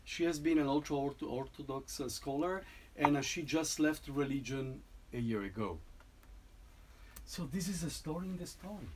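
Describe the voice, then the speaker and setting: Man explaining his piece haltingly. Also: on the high side, a little mellow, slight background noise. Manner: halting, many restarts and tag "no?". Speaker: composer presenting a piece. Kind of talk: informal talk to an audience.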